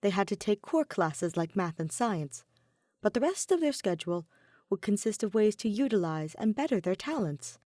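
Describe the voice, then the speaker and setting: Woman narrating a story, lively intonation. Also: mid-range voice, no room sound, clean studio sound. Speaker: podfic narrator. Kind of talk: expressive scripted story narration.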